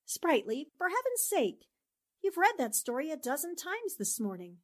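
Actress as a high-voiced, shrewd lady reporter. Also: very animated. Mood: exasperated, brisk. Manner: brisk, decisive, articulate. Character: shrewd, take-charge woman newspaper reporter. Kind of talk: old-time radio drama acting.

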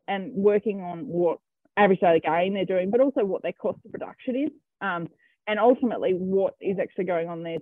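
A man's voice: high voice, dry recording. An Australian man, a cattle farmer, answering interview questions in a hesitant way with many ums and restarts. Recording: tinny phone-line sound, dry.

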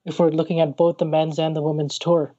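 A man co-hosting a casual podcast, speaking in a measured way with many fillers. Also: on the high side, flat delivery, warm tone, lo-fi sound. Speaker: podcast co-host.

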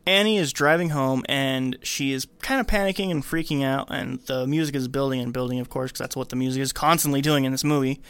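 A man hosting a podcast, retelling a movie scene by scene in casual, slangy, animated speech. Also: medium pitch, a little fast, few pauses, smooth, no echo. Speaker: podcast host.

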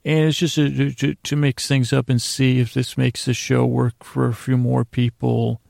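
A man hosting a sleep podcast in a soothing, rambling bedtime monologue, hesitant and meandering, with long pauses and stammered restarts and a gravelly voice. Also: medium pitch, level tone, darkish tone, no room sound. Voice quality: creaky, gravelly. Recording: faint music under a dry voice.

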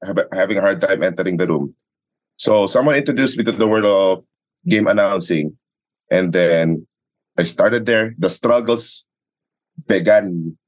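A man's voice: low-pitched, a little slow, pauses often, rough recording. A man with a Filipino accent, a sports announcer talking as an interview guest in a conversational, storytelling way, repeating phrases for emphasis. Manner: mixes English and Tagalog, repeats phrases for emphasis.

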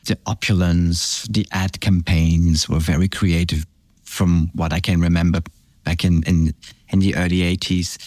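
Deep-voiced middle-aged man from Belgium. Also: little pitch movement, no room sound. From France and Belgium. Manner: reflective, halting, warm storytelling with many restarts. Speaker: fragrance brand founder, guest. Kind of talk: casual interview chat.

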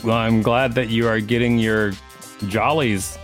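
A man's voice: mid-range voice, flat delivery, measured pace, faint music behind. A man co-hosting a podcast, reading reference text aloud with casual remarks. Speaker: podcast co-host. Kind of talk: reading an encyclopedia page aloud with casual commentary.

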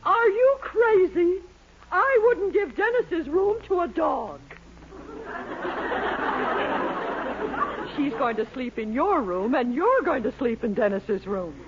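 A very noisy vintage radio recording of a domineering, money-minded wife. Her voice is high and swings widely as she hands out emphatic orders in 1940s sitcom style. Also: expressive, unhurried, dull audio. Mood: bossy, indignant. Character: bossy, scheming, money-minded wife with henpecked husband. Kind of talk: 1940s radio comedy acting.

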